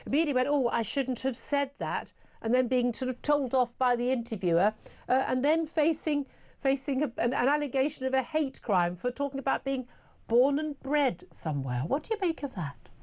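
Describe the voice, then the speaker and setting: A woman's voice: high voice, animated, fairly quick, a little mellow. A woman hosting a political talk radio show, emphatic and sarcastic. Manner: emphatic and sarcastic, many fillers.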